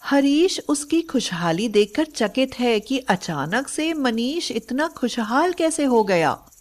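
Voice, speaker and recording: A woman's voice: a little high, very animated, slow pace. A woman narrating a scripted moral story in a storybook style.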